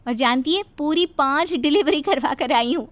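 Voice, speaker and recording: A woman's voice: high-pitched, expressive, moderate pace, no echo. A woman voicing a headstrong, self-assured young nurse and daughter-in-law, confident and dismissive, in a cartoon story. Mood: reassuring. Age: young adult. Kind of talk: cartoon moral story voice acting.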